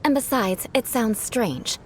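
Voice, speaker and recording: Haughty young noblewoman, high-voiced. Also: animated, unhurried, very noisy. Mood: haughty instructing. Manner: formal, lofty, lecturing. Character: haughty young noblewoman, proud, formal, privately awkward. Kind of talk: anime video game voice acting.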